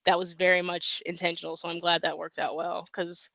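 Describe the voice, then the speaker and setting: A woman's voice: lowish voice, brisk, creaky, dry recording, muffled recording. A woman author from the American Midwest, chatting casually in an interview and talking animatedly with lots of fillers. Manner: animated, talks with many fillers and laughs. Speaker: guest author.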